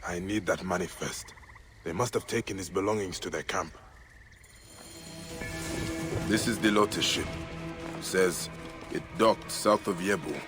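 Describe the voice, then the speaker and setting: A man's voice: low voice, measured pace, long pauses, over music. An actor playing a serious, determined male investigator in an ancient Egyptian video game, terse and dry in his questioning. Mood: brooding, investigating.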